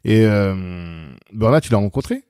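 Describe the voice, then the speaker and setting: Host, man, low warm tone, relaxed and affirming. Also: measured pace, clear, resonant tone, no echo, studio quality. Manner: frequent short affirmations. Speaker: interviewer. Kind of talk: casual interview chat.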